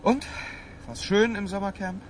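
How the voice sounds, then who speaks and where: An actor in a radio drama playing an evasive middle-aged husband who talks placatingly and turns agitated under pressure. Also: male voice, high-pitched, fairly even intonation, a little slow, a little hiss or hum. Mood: falsely cheerful. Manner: placating, swinging from muttered to loud.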